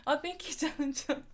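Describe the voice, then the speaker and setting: A young woman from San Diego with a lisp, chatting casually on a live stream, reading chat comments aloud and laughing often. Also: high-pitched. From San Diego, USA.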